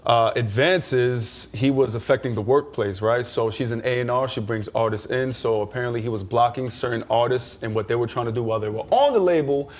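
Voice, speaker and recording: Guy panelist talking culture news, casual n slangy, explains stuff in detail, medium pitch. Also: brisk, few pauses. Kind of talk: casual opinionated chat.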